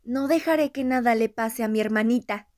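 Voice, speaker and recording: A woman plays a young female cartoon fox, a protective and playful older sister. Her voice sits high, recorded dry and close, with a reassuring manner. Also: moderate pace, darkish tone, no echo, lightly acted. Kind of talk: animated series fan dub.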